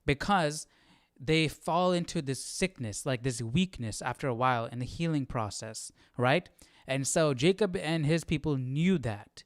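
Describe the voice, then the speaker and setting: A man's voice: medium pitch, clear, resonant tone, no echo, studio quality. A man hosting a casual Bible study, thinking aloud in a hesitant, questioning way and often checking in with the listener. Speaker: Bible study livestream host.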